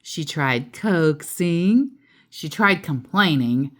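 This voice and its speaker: A woman storyteller reading a children's picture book aloud expressively, drawing out key words and adding playful asides. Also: sits low. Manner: animated, drawn-out emphasis with playful asides.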